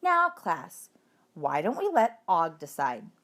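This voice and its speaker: A woman's voice: on the low side, huge pitch swings, takes it slowly, a bit bright, heavily acted. A woman reading a children's storybook, voicing a firm, patient female schoolteacher who addresses her class. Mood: inviting. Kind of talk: children's storybook read-aloud.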